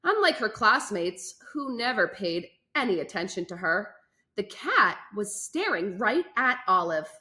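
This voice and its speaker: A woman reading a children's book aloud as a host, with expressive, enunciated storytelling and emphatic phrasing. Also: medium pitch, unhurried.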